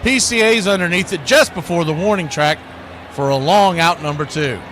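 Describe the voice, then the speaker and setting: A man's voice: high voice, moderate pace, a bit bright, slight background noise. A man doing live baseball play-by-play in a folksy style, fond of nicknames and catchphrases. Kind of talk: live sports commentary.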